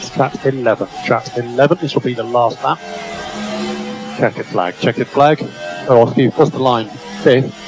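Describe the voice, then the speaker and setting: A male race engineer speaks over team radio in short, clipped instructions, often repeating them. His voice is mid-pitched, and music plays clearly behind the transmission along with vehicle noise. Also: normal speed, poor audio. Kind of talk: terse radio instructions to a driver. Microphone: radio headset.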